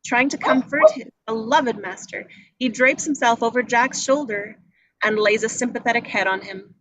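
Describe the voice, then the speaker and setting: A woman narrates screen directions at a table read. Her voice is bright and fairly high. Faint noise sits behind the reading. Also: normal speed, rough recording. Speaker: narrator reading action descriptions.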